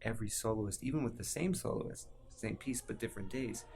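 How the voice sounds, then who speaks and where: Low-voiced man, reflective, over background noise. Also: brisk, vocal fry. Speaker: composer. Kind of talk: candid reflective interview talk.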